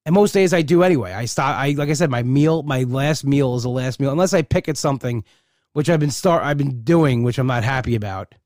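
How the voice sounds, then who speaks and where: A man's voice: medium pitch, brisk, darkish tone, no room sound. Rambling, candid man on a dry mic. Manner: rambling, candid, many restarts. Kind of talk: casual personal monologue.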